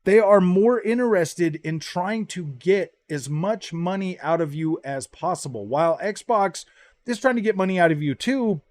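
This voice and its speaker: A man's voice: high-pitched, measured pace, a little mellow. A man giving casual, opinionated gaming commentary, slangy and often repeating and restarting his phrases. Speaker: gaming YouTuber.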